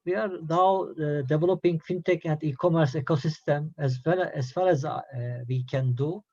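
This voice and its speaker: A man moderating an online business meeting on a video call, halting, with many fillers and restarts. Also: fairly high pitch, a little mellow. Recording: dull lo-fi call audio, dry.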